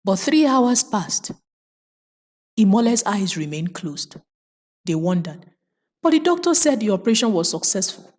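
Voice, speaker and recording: A woman's voice: medium pitch, big pitch swings, measured pace, pauses often, darkish tone. A woman telling a folktale as host, emphatic and moralizing, repeating phrases for dramatic effect. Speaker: storyteller and host.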